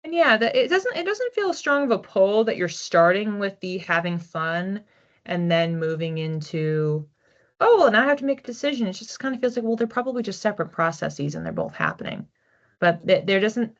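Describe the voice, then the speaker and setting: A woman reading oracle cards for a general audience in a relaxed, chatty way, thinking aloud as she goes. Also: mid-range voice. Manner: chatty, thinking aloud with restarts and soft laughs. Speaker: psychic card reader. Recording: slightly dull lo-fi audio.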